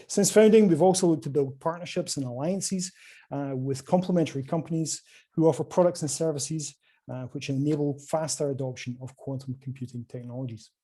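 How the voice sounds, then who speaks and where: A Scottish man presents at a conference over a video call, his voice mellow and soft-edged. He talks with frequent filler sounds and restarts, and his level rises and drops through each phrase. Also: a little high, fairly quick. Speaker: conference speaker from a quantum computing company.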